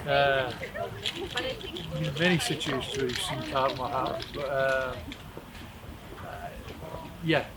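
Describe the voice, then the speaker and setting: A man's voice: medium pitch, fairly even intonation, slow, pauses often. A man answering casually in a wry, evasive way. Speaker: interviewee. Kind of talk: casual chat. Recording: crowd noise around voice.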